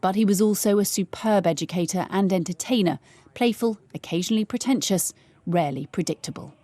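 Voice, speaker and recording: A British woman working as a news correspondent, reading a scripted obituary report in a measured manner. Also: mid-range voice, dark tone, no room sound.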